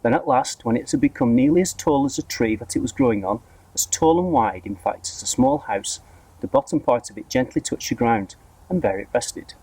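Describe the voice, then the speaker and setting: A man reading a children's story aloud in an expressive narrating style. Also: medium pitch, fairly quick, slightly rough, no room sound, rough recording. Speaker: storybook reader, school staff member.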